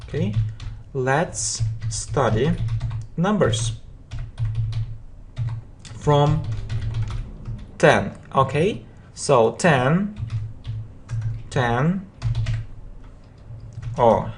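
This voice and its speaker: A teacher giving a language lesson who repeats each example phrase and often breaks it into separate words for the learner to follow. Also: mid-range voice. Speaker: English teacher. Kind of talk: slow drilling language lesson.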